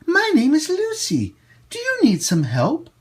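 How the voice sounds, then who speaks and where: Man putting on an eager high-pitched little girl. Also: wildly swooping pitch, unhurried, full character voice. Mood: eager, polite.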